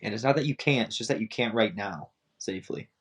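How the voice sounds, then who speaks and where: A man's voice: lowish voice, fast, fairly bright tone. A man co-hosting a fitness podcast in casual chat, easygoing and prone to trailing off mid-thought. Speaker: podcast co-host.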